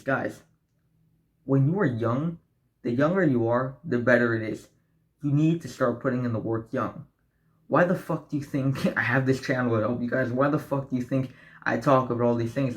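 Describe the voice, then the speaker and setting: Young male vlogger, late teens, preachy and profane, keeps saying "right?", average pitch. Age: young adult. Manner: blunt, profane, preachy motivational talk; addresses viewers as guys, asks right? often. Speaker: self-improvement vlogger. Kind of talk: direct-to-camera advice vlog.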